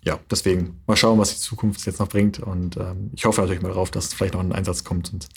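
A man's voice: sits low, steady tone, quick pace, creaky, slightly rough. A young man in his twenties from Germany, a handball player answering interview questions thoughtfully, with frequent hesitations. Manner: thoughtful, many hesitation fillers.

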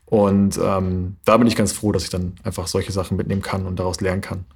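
A young German man in his twenties with a slightly low, husky voice, answering as a handball player. He talks thoughtfully and stops often to search for words. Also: level tone, fairly quick, a little mellow. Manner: thoughtful, many hesitation fillers. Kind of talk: interview answers.

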